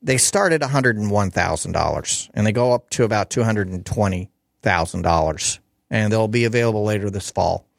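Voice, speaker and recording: A man hosting a call-in radio show, talking casually with lots of fillers and restarts, his voice hoarse. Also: lowish voice, a little fast, no room sound, clean studio sound. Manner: rambling, chatty, many fillers and restarts.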